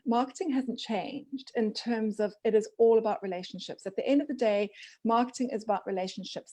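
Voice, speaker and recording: A woman in her forties from Zimbabwe, a business coach, talking as a guest on a video call from a home office. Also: a little high. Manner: animated, laughs often, gestures. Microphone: video call, headset wire.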